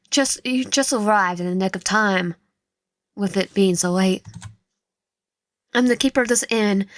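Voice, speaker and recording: A woman's voice: mid-range voice, studio quality, lightly acted. A woman voicing a kindly, welcoming old granny innkeeper while reading a visual novel. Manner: welcoming, gentle. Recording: echoey room, faint music behind.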